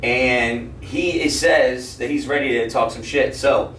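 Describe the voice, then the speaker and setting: A man's voice: mid-range voice, a little slow, a bit bright. A man hosting a podcast, chatting casually as he interviews a guest. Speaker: podcast host. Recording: echoey, distant mic with noise.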